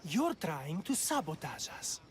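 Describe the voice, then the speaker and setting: A man's voice: a little high, wildly swooping pitch, a little slow, faint music behind, full character voice. A man playing a paranoid, hot-tempered village magistrate in a video game, accusatory and threatening. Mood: angry, accusing. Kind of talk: dramatic video game cutscene acting.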